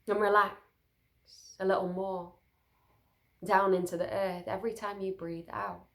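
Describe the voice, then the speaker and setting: Woman, meditation guide, mid-pitched, gravelly creak, soothing, gentle delivery, pauses between short phrases. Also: little pitch movement.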